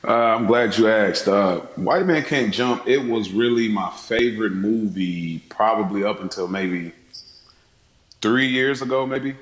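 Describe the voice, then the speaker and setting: A roomy, echoing recording of a man as a podcast guest. He chats casually in a fairly low-pitched voice. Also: a little slow. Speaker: podcast guest, fellow podcast host. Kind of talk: casual chat.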